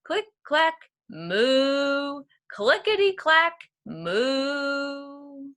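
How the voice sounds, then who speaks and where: A woman teacher giving an expressive, animated read-aloud for young children. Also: high-pitched, very slow. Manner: expressive, clearly addressing children. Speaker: elementary school teacher.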